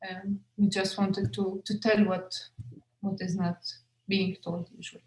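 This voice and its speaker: A woman's voice: medium pitch, little pitch movement, unhurried, darkish tone, roomy sound, low-quality recording. A young woman, a film director whose first language is Arabic, answering questions at a festival Q&A with many fillers and restarts. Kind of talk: festival Q&A conversation.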